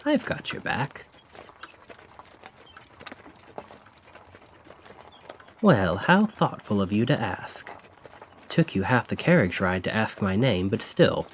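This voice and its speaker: A man's voice: lowish voice, a little hiss or hum. A man playing a vengeful, sardonic carriage driver in an ASMR audio drama, speaking in a conspiratorial, taunting way. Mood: sly, teasing. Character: vengeful male carriage driver, sly, mocking, coldly controlled.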